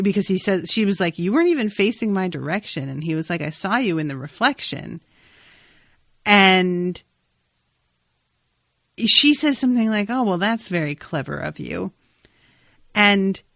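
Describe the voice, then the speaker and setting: A woman hosting a podcast, chatting casually and recapping a story in an informal, expressive, sweary way. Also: medium pitch, no room sound, studio quality. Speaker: podcast host. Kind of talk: casual chat, recapping a TV episode.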